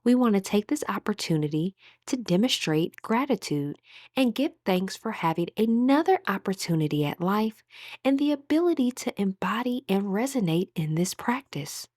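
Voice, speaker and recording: A woman leading a guided meditation as instructor, speaking in a calm, soothing, measured way with pauses. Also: medium pitch, no room sound, studio quality.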